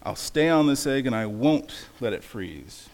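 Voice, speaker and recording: A man voicing a faithful, earnest male elephant from a storybook, with loud exclamations. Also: mid-range voice, lively intonation, measured pace, vocal fry. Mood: determined. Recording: long hall reverb.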